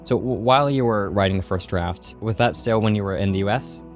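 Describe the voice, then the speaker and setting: A man with a low voice conducts a conversational interview. He speaks at a quick clip, articulate and thoughtful. Music runs underneath his words.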